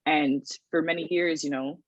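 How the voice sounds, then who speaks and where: A woman's voice: low voice, fairly even intonation, moderate pace, dry recording, muffled recording. A woman, a writer and researcher talking thoughtfully in a casual podcast chat, with many restarts and ums. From Toronto, Canada.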